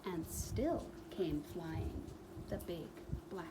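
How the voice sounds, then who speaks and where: A woman reading a children's book aloud as a storyteller, expressively, with dramatic pauses and emphatic repetition. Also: fairly low pitch, expressive, noisy background.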